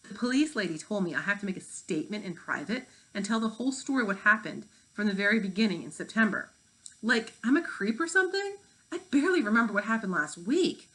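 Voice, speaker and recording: A woman's voice: medium pitch, a bit bright, poor audio. A woman reading the part of an exasperated, sulky fifth-grade girl, impatient and dismissive.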